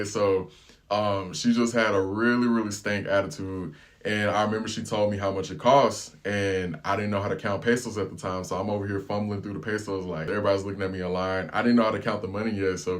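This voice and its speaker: An American man vlogging, telling a relaxed, slangy travel story in casual chat. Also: fairly low pitch. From United States. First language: English.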